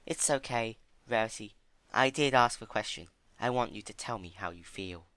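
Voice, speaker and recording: A man voicing a gentle, timid female pony with a soft, hesitant manner in a dramatic storybook reading. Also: lowish voice, moderate pace, clear, resonant tone, no room sound. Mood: earnest. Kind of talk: dramatic fan fiction reading.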